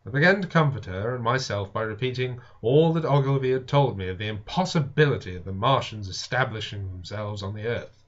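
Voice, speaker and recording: A man reading a classic science fiction novel aloud as dramatic narration, with deliberate, expressive, theatrical phrasing. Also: lowish voice. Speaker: audiobook reader. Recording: dull lo-fi home recording.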